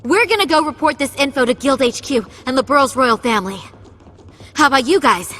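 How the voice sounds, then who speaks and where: A woman's voice: sits high, animated, brisk, fairly bright tone. A woman voicing a spirited, encouraging big-sisterly young heroine in a video game, recorded with long reverb. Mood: determined. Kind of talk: English video game voice acting.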